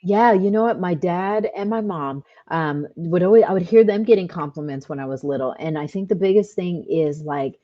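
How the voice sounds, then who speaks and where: A woman's voice: fairly low pitch, moderate pace, hardly any pauses, highs cut off. A woman in her fifties, a guest in a casual interview, talking in an upbeat, chatty way and laughing often. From California. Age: 50s.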